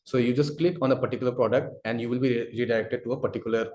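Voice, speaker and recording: A man presenting a product demo in a webinar, speaking haltingly with frequent "you know" fillers. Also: mid-range voice, fairly even intonation, a little mellow. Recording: roomy, echoing sound.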